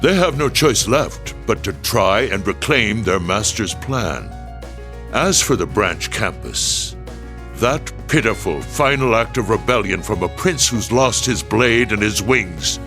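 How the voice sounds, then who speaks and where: A ruthless ruler of a game drama, with a low, forceful voice that sweeps widely as it delivers cold, grandiose pronouncements. Music plays behind him. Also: male voice, expressive. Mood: cold resolve. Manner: grandiose, deliberate, imperious. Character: ruthless, commanding middle-aged statesman, cold and scheming. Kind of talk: English video game dub acting.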